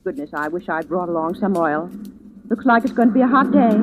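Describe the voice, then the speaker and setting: A woman's voice: on the low side, animated, moderate pace, lightly acted. An actress playing a chatty, nosy middle-aged neighbor lady who fusses, in a 1940s radio drama. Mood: chatty, fussy. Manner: chatty, fussy. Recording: tinny, noisy old radio recording.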